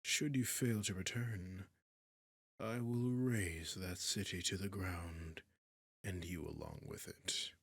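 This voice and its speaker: A very low-voiced, slow-speaking dragon king, acted by a man, courtly yet menacing. His husky growl slips into breathy whispers, and every formal phrase lands as a possessive command in an intimate fantasy roleplay. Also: steady tone, a bit bright, no room sound, clean studio sound, heavily acted. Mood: stern, commanding. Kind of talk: scripted fantasy audio roleplay addressed to the listener.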